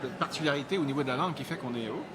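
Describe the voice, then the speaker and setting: A man hosting live radio from a fair, with a brisk, joking manner. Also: a little high, background noise. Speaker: radio host. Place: immigration fair hall. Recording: live event with loud crowd.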